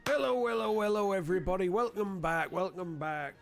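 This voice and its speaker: A man's voice: sits high, a little fast, gravelly, faint music behind, voice pushed up. A man voicing a cheery, chatty tavern keeper who welcomes customers politely and repeats himself. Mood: cheery, welcoming.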